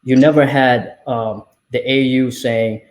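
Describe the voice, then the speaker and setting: A man's voice: medium pitch, steady tone, unhurried, darkish tone, slight background noise. A man speaking earnestly as a guest in a casual panel discussion, often checking in with "right?". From Somalia.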